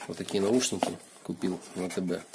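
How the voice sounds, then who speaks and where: A low, gravelly man sounding hoarse from a cold, his voice dark and mellow. He talks through a gadget teardown in an unhurried way, thinking aloud. Faint noise and clicks sit behind him. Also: steady tone. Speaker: video blogger. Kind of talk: casual hands-on gadget teardown. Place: indoors at a wooden desk.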